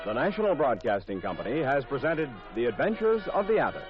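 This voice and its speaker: A man's voice: mid-range voice, sing-song, quick pace, lo-fi sound. A man announcing scripted credits in a formal 1950s radio style, on an old broadcast recording. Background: music.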